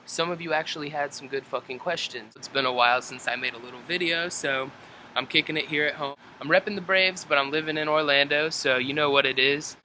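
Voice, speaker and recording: Male, 20s, very sharp bright tone, laid-back slangy vlogger delivery, faintly noisy home recording. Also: fairly high pitch, steady tone, a little fast. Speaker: metal band vocalist answering fan questions. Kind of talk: casual vlog chat. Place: indoors at home.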